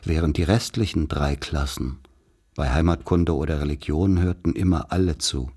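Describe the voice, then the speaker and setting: A man as the reflective narrator of a radio play, recalling rural schooldays in measured, articulate literary prose. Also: rumbling low, warm tone.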